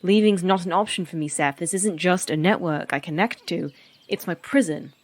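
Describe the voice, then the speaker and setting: A woman's voice: lowish voice, moderate pace. A woman playing a sentient computer network with a human past, earnest and dryly wry, in a sci-fi horror audio drama. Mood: grave, afraid.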